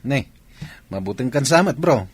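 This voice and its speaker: A man acting an earnest, brooding Filipino soldier in a radio drama, with a husky voice. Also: mid-range voice, lively intonation, normal speed. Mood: earnest, commanding.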